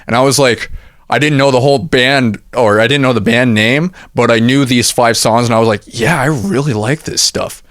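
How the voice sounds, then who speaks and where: A man who grew up in Washington State, a band's bassist, telling stories in a chatty way and laughing as he talks. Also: moderate pace, fairly bright tone, dry recording, clean studio sound. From Washington State, USA. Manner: chatty storyteller, laughs while talking. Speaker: band member, bassist. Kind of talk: casual interview chat.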